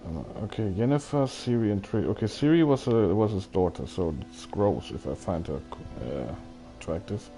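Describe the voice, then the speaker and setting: A man's voice: on the low side, animated, moderate pace, hardly any pauses, dark tone, soft background music. A man chatting casually as he livestreams a video game, often hesitant and thinking aloud. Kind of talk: casual rambling game stream commentary, chatting with viewers.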